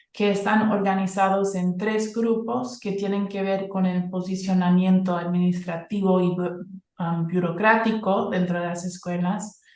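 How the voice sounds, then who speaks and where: Non-native woman researcher presenting slowly with many fillers, echoey room. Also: medium pitch, a little mellow. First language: not Spanish. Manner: hesitant, many fillers and restarts. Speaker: academic presenter. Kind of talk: conference presentation.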